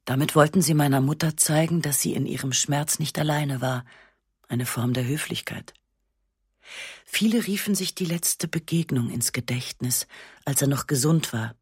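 A woman narrating a literary text in the first person, with a measured, restrained and sober delivery on a close studio microphone. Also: low voice, darkish tone, slightly rough, no echo. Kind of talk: literary reading of a memoir-like novel.

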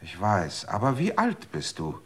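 A man's voice: fairly low pitch, huge pitch swings, measured pace, fairly bright tone, husky, exaggerated voice. An actor playing a desperate, manipulative man in a radio drama, coaxing and then threatening. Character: desperate, manipulative man seeking longer life.

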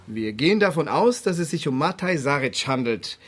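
Deadpan police investigator, lively high-set voice. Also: male voice, normal speed. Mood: dry, businesslike. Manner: matter-of-fact, deadpan. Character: stolid, officious male police investigator. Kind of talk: detective radio play acting.

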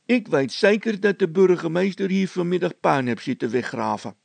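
An eager village workman from a storybook, put on by a male reader, excitable and full of questions. His loud voice is pitched well up and has a rough edge. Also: moderate pace, no room sound, heavily acted. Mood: eager, questioning.

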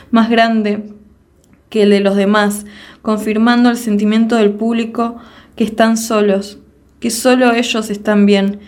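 A woman reciting a long first-person poem in a measured, declamatory way, full of rhetorical questions. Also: mid-range voice, a little mellow. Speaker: poetry reader. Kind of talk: dramatic poetry recitation.

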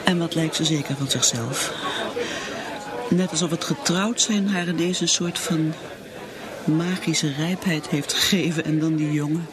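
A woman's voice: sits low, unhurried, crowd noise. An actress with a Netherlands Dutch accent playing a lonely, bitter middle-aged mother, a drinker in denial, brooding and repeating herself in radio drama. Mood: tender, wistful.

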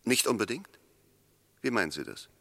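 An actor playing an eloquent, sceptical defence lawyer in a 1960s German radio drama, speaking in formal, rhetorical phrases. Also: male voice, mid-range voice, very animated, normal speed, pushed and bright, dry recording, exaggerated voice. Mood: probing, sceptical. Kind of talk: 1960s German radio drama acting, courtroom-style argument.

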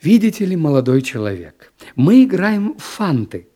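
A man voicing a mocking gentleman acting as master of ceremonies in a literary audiobook, speaking ceremoniously. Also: on the high side, sing-song, normal speed, pitched above the performer's own voice.

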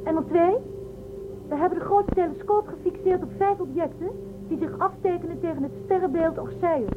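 A defiant, forthright starship crew member in a sci-fi radio play. Her voice is high and direct yet guarded. It sounds thin and phone-like, with music behind it. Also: fairly quick. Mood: alert, brisk. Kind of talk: radio drama acting.

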